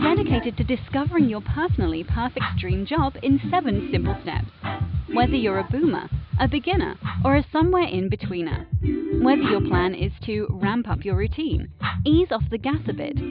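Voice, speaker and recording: A woman reading a scripted podcast intro in a punchy, upbeat announcer style. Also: on the high side. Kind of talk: scripted promo read over music. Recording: tinny phone-like sound with loud music bed.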